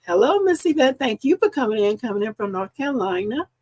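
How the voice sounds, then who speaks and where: A woman hosting a live crafting stream from her home craft room, chatty and upbeat, slightly hoarse because she is losing her voice. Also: on the high side, big pitch swings, fairly quick, darkish tone. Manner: chatty and upbeat, greets viewers by name. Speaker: host and embroidery business owner. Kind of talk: casual live-stream chat. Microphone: live-stream setup.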